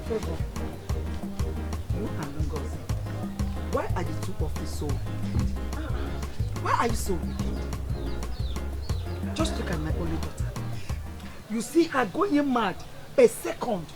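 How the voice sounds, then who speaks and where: Nigerian mum, upset and scolding, very animated voice, music behind. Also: female voice, mid-range voice, slow pace, long pauses. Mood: reproachful. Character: distraught, reproachful mother. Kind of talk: film drama dialogue.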